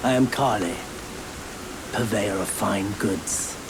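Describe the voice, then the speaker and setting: An actor playing a wary, world-weary wandering merchant in a video game, giving unhurried sales patter. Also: male voice, fairly low pitch. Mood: wary, businesslike. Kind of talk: video game character acting. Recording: loud rain-like ambient noise.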